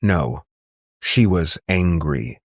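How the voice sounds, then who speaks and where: A man narrates a scripted story in a deep, unhurried voice. The sound is close and dry, with the measured delivery of an audiobook storyteller. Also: animated, dry recording.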